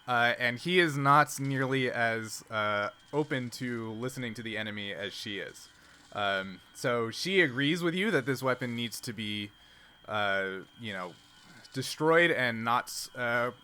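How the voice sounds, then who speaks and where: Bright-voiced man running a tabletop game. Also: mid-range voice, slight background noise. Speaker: game master. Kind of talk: casual narration of tabletop roleplaying game.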